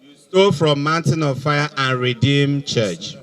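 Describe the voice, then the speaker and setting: A man's voice: a little high, unhurried, fairly bright tone, clear, resonant tone, recorded from a distance. A middle-aged man with a Nigerian accent, a police officer questioning suspects with probing authority on a handheld microphone outdoors. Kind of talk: public interrogation at a press briefing.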